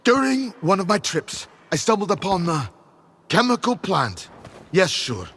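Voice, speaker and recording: Brooding fanatical faction leader, gravelly, wildly swooping pitch, theatrical pauses, video game drama. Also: male voice, big pitch swings, a bit bright, full character voice. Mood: wistful, reminiscing.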